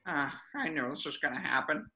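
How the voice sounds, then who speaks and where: A man's voice: a little high, animated, a little fast, bright, forceful tone, roomy sound, highs cut off, exaggerated voice. A man voicing a gloomy, exasperated squid band leader in a cartoon table read, whiny and melodramatic. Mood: panicked.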